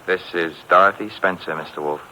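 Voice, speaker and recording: An actor playing a breezy, sardonic young detective's assistant, trading flippant teasing lines in 1950s radio style. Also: male voice, sits low, steady tone, brisk, pushed and bright, rough recording. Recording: vintage 1950s radio broadcast, faint hiss.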